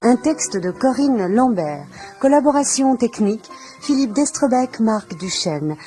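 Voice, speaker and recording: A woman's voice: on the high side, big pitch swings, measured pace, warm tone. A woman announcer reading scripted radio credits in a formal way. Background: music.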